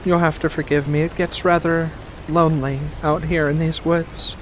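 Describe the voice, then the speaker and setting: A man voicing a playful, mischievous furry witch of the woods in a soft-spoken, teasing ASMR roleplay, full of gentle endearments. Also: on the high side, little pitch movement, moderate pace, a little hiss or hum, lightly acted, low-quality recording.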